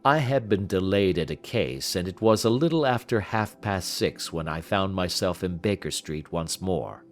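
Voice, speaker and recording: A man narrating a literary audiobook in a measured, expressive manner. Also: low voice, faint music behind.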